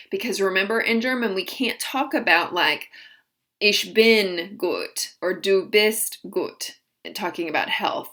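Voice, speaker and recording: A woman teaching a language lesson, slowly modeling each phrase and then explaining it. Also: mid-range voice, a bit bright. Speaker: German teacher. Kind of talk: recorded vocabulary lesson.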